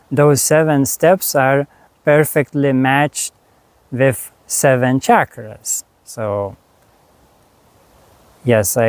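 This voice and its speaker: A man with a Lithuanian accent, a spiritual teacher talking slowly and haltingly to camera about his course, with many pauses and restarts. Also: mid-range voice, a little mellow, clear, resonant tone, dry recording. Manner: unhurried, halting, frequent fillers and restarts. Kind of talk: reflective monologue.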